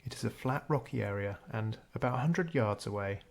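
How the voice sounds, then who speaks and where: A man narrating a scripted guided meditation in a measured, unhurried storytelling way. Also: on the low side, creaky.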